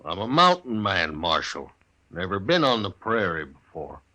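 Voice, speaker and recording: Big frontier husband: very low, forceful, blunt rustic drawl, proud and dangerous, radio western. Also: male voice, big pitch swings, normal speed, full character voice. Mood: defensive, indignant. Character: huge, proud, menacing mountain man husband. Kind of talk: old-time radio western acting.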